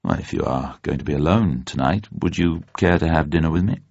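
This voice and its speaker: A man's voice: a bass voice, animated, fairly quick, vocal fry, a little mellow, no room sound, highs cut off, pitched below the performer's own voice, full character voice. A man playing a suave, reserved British spy with a clipped, formal manner. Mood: smooth invitation.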